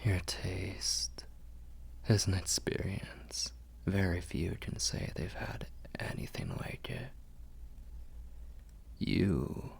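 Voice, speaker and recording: Casting: male actor as refined, protective vampire lord for ASMR roleplay; very low, breathy, courtly and soothing. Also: exaggerated voice. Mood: adoring, hungry. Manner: slow, soothing, formal and courtly phrasing. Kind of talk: ASMR roleplay, intimate whispering and murmuring.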